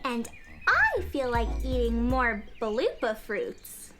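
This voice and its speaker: A woman's voice: fairly high pitch, huge pitch swings, takes it slowly, exaggerated voice. A woman playing a plucky, eager young girl space adventurer in a children's radio play, earnest and exclamatory. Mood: delighted, eager. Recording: loud music behind voice.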